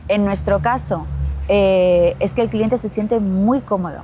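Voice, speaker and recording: A woman with an Andalusian Spanish accent, a company chief answering interview questions in a measured way, pausing and repeating as she thinks. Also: mid-range voice, mellow, slight background noise. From Spain. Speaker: glamping company CEO, guest.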